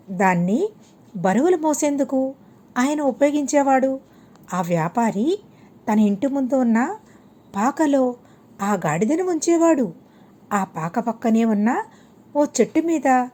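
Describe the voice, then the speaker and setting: A woman narrating a scripted moral tale for children in measured, separate phrases. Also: fairly high pitch, mellow. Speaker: storyteller.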